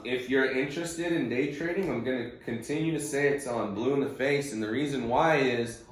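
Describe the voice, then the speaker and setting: Blunt male trading coach in echoey room. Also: medium pitch. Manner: blunt, insistent, emphatic repetition. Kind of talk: informal instructional monologue on a group call. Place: conference call recording in echoey room.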